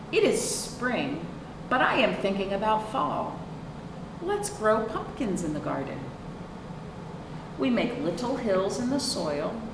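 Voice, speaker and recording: Lady doing kids storytime, slow n super animated, asks the kids little questions, echoey room. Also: medium pitch. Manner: expressive, addresses children with prompting questions. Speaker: children's librarian hosting virtual storytime. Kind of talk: children's storytime read-aloud. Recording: roomy, distant mic, clearly noisy.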